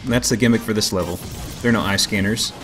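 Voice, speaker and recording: Low-voiced man joking over game music. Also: fast talker. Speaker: let's play host. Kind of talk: casual game commentary.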